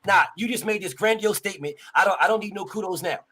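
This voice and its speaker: A man's voice: high-pitched, fast, bright, forceful tone, slightly rough. A man arguing boxing on a podcast, insistent and repetitive, talking over the other speaker to press his facts. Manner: insistent, repeats points, talks over others. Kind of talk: heated sports debate.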